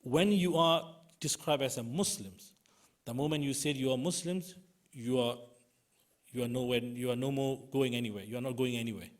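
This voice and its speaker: A man with a Burmese accent gives a prepared public speech as a human rights activist, in halting, non-native English. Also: medium pitch. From Burma (Myanmar). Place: conference auditorium.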